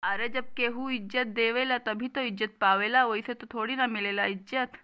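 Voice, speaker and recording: A woman's voice: a little high, steady tone, moderate pace, smooth, lo-fi sound, lightly acted. A woman voicing a principled young son in a cartoon, earnest and argumentative, with an eastern Hindi-belt accent. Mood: earnest, reasoning. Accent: eastern Hindi belt (Awadhi-Bhojpuri). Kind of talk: amateur cartoon voice acting.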